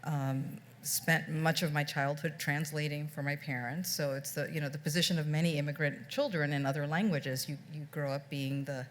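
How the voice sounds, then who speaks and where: A woman's voice: low voice, steady tone, normal speed, few pauses, a little hiss or hum. A middle-aged woman speaking as an author on a panel, reflective and often restarting her sentences. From United States. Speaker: author on a book festival panel. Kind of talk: panel discussion.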